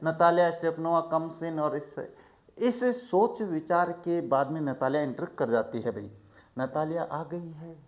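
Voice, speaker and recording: Man slowly reading English lines, then explaining them. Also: fairly high pitch, darkish tone. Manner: chatty, addresses students as "bhai". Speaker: teacher. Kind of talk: line-by-line textbook explanation.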